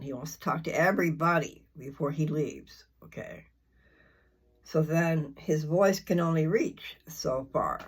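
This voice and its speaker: An older speaker with a husky, unpolished voice talks at a very unhurried pace. The delivery is folksy and informal, wandering through casual chat and pausing to hunt for the next word. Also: medium pitch. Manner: folksy, informal, meandering speech; halting, searching for words. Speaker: host of a scripture reading channel. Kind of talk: rambling casual chat before a reading.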